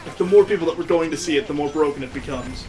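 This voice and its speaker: A man with a slightly high voice gives casual commentary as he plays a video game. He gripes with amused sarcasm, and game music plays faintly behind him. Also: racing, darkish tone. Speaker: let's play video host.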